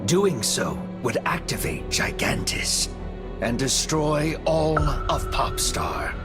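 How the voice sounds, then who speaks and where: A man narrating a video game story in a solemn, measured, dramatic scripted style. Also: a little high, big pitch swings. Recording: music behind the voice. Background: background music.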